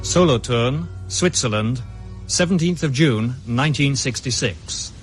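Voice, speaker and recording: Male radio drama announcer, medium pitch. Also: lively intonation, unhurried, loud music behind the voice.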